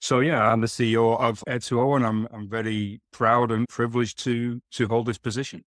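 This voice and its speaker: A man's voice: medium pitch, flat delivery, a little fast, fairly bright tone, dry recording, clean studio sound. A man with a Manchester accent from Northern England, a company CEO talking casually as a podcast guest, often restarting and repeating words. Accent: Northern English, Manchester. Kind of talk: casual interview conversation.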